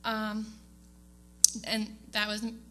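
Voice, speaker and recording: A young woman talks to a church congregation, stopping often on ums and restarting her sentences. Her voice is bright and pushes forward. A faint hum sits under the recording. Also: mid-range voice, monotone, slow pace. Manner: hesitant, many ums, laughs often. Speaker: student giving award speech. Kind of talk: casual speech to a church congregation. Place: church sanctuary.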